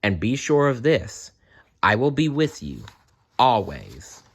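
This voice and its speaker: Man reading as solemn, slow-spoken Jesus. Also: medium pitch, big pitch swings, breathy edge, pitched above the performer's own voice, exaggerated voice. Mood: assuring. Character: solemn, gentle, resolute Jesus in a Bible story. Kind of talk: storybook character voice.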